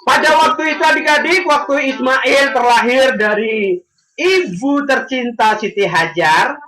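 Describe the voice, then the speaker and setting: A man telling a religious story to young children in a school, animated and sing-song, coaxing his audience to answer. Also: very high voice, measured pace, faint music behind, poor audio. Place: school classroom.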